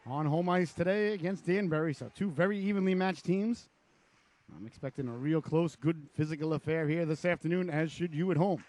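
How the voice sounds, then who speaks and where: A man with a raspy voice from a cold, calling live hockey play-by-play with chatty asides. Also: a little high, darkish tone. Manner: chatty asides between rapid calls. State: hoarse from a cold. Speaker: play-by-play announcer.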